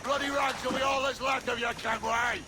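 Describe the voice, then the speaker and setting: An actor playing a deranged, vengeful pirate captain in a video game, ranting and taunting with violent glee. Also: male voice, extremely high pitch, little pitch movement, brisk, creaky, noisy background. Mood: murderous rage. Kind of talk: dramatic video game acting.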